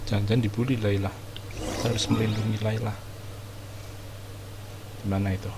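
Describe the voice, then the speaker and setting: A man's voice: lowish voice, level tone, a little fast, very noisy. A man with an Indonesian accent giving casual, slangy gameplay reactions in short muttered remarks with swearing. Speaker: game streamer.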